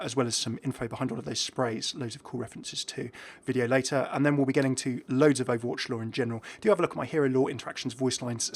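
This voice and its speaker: A man presents a gaming video in a mid-pitched voice. His commentary is informal and conversational, with an enthusiastic, chatty delivery.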